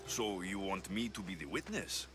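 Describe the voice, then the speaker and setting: A man's voice: low-pitched, expressive, moderate pace, over music. A man playing a blunt, dry, confident Greek mercenary in a video game cutscene. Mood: impatient.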